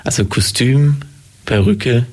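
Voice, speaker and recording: A man's voice: medium pitch, takes it slowly, darkish tone. A man hosting a casual interview, asking friendly, long-winded questions with small restarts. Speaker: interviewer, host.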